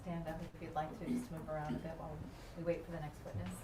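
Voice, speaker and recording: A woman judge giving measured, formal instructions in a courtroom. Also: deep voice, flat delivery. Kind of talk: courtroom procedure. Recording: distant courtroom mic with noise.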